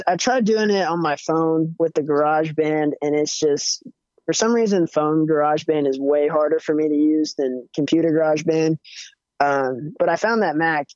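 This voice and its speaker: A young man with a higher-pitched voice, chatting casually as a musician guest with lots of fillers and restarts. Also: smooth, dry recording. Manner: relaxed, rambling, many fillers and restarts. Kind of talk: casual interview chat. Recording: dull, muffled audio.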